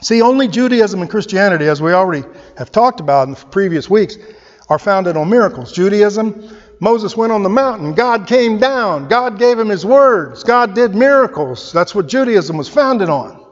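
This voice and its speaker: A man's voice: high voice, expressive, moderate pace, in a large hall, muffled recording. A male pastor preaching a sermon, emphatic and repetitive, firing off rhetorical questions. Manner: emphatic, rhetorical questions, repeats key phrases.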